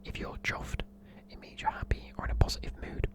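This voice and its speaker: A person with a British accent whispering informal, chatty, matey explanations in an ASMR style. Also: fairly quick. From United Kingdom. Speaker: presenter explaining slang.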